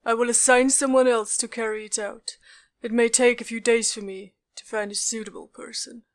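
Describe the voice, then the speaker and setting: A woman plays a composed, stern commander in a fantasy audiobook. The voice sits a little high and speaks with formal, deliberate authority. The recording is dry. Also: flat delivery, dry recording, natural voice. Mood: cool authority. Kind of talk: audiobook character dialogue.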